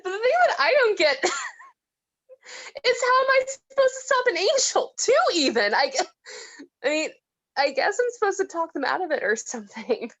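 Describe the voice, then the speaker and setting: A woman's voice: very high-pitched, big pitch swings, moderate pace, pauses often, fairly bright tone, heavily acted. A woman acting a tipsy, disillusioned woman who rambles and giggles in a read-through of a film script. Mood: giggly, incredulous. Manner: rambling, slightly slurred, giggly.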